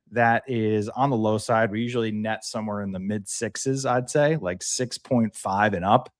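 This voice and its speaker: A man hosting a live sports talk show, chatting casually and playfully as he builds points in short, repeated phrases. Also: fairly low pitch.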